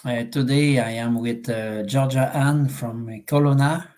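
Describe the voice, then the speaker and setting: A man's voice: medium pitch, fairly even intonation, a little slow, darkish tone, a little hiss or hum. Hesitant French-Canadian man interviewing. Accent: Québécois French. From Quebec, Canada. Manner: hesitant, many fillers and restarts. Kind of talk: live online interview.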